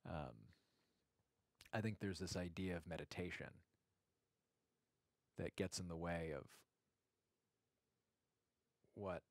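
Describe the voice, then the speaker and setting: A man leading an informal guided meditation in a gentle, reflective way, speaking haltingly with long pauses. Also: low-pitched, steady tone, dry recording.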